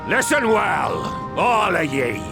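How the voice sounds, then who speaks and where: A gravelly old-school pirate captain. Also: male voice, sits high, very animated, slow pace, exaggerated voice. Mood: commanding. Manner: old-fashioned seafaring speech, gruff. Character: grizzled male pirate captain, stern and scornful. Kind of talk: video game cutscene acting. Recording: music playing underneath.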